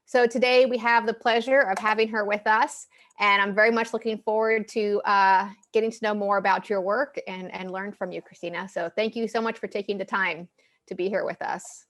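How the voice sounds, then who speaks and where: A woman host opens an online seminar and introduces the guest speaker. Her pitch is in the middle. Her phrasing is polite and formal, and her voice trails softer at the ends of her sentences. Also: fairly even intonation, moderate pace. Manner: gracious, formal. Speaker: host, moderator.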